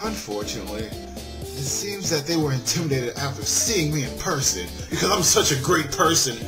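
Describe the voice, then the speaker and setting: A man's voice: a little high, big pitch swings, moderate pace. A man voicing a boastful, self-important male student in a visual novel, with pompous theatrical phrasing. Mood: smugly aggrieved. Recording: music playing under the voice.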